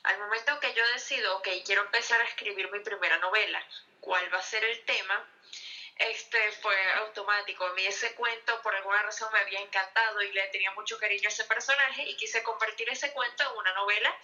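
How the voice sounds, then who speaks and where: A young Venezuelan woman speaks with a Venezuelan Spanish accent and a very bright, sharp tone. She is a novelist answering radio interview questions. Her talk is chatty and full of fillers. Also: medium pitch, fairly even intonation, a little fast, muffled recording. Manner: chatty, lots of fillers, laughs often. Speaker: novelist guest.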